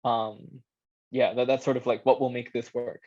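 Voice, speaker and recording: A guest speaker with a somewhat low voice talks casually and earnestly in a workshop setting. The phrasing restarts often and is peppered with "like". The sound is dull and lo-fi, as on an online call. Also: level tone, normal speed, darkish tone. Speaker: guest speaker, audio drama creator.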